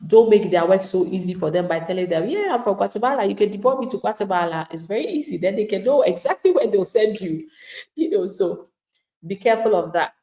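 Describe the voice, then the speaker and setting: A woman's voice: mid-range voice, animated, a little fast, mellow, reverberant. A woman immigration attorney giving live legal advice to viewers in an emphatic, plain-spoken way, acting out the replies of people she describes.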